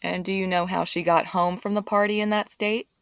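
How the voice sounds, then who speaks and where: A woman's voice: medium pitch, little pitch movement, brisk, creaky, fairly bright tone, no room sound. An actress playing a skeptical, probing female police detective in an audio drama.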